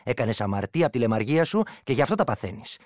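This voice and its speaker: An earthy, irreverent ex-soldier from the village, acted by a man spins a yarn in a radio play. His speech is full of exclamations and swings widely in pitch. The sound is close and dry. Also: very animated, darkish tone, no echo, close to an everyday voice. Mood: indignant. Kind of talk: radio play monologue, colloquial storytelling.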